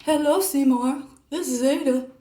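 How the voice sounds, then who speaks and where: A friendly little pet dog in a home video, voiced by a woman, raised in pitch. The delivery is cutesy and playful, with a slightly put-out air. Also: normal speed, mellow, reverberant, close to an everyday voice.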